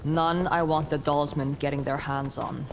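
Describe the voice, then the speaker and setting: A woman's voice: deep voice, steady tone, moderate pace, noisy background. A woman playing a practical, dry-witted adventurer in a video game, blunt, focused and down-to-earth. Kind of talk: dramatic video game dialogue. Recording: prominent music behind.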